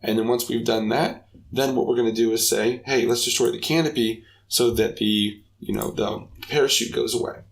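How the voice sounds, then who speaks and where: A young man hosts a casual game development tutorial, talking through every step in an upbeat, chatty manner. His voice is gravelly and a little raspy, sitting at a middle pitch. He speaks close to a desk microphone. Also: fairly even intonation, moderate pace. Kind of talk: casual screen-recorded coding tutorial.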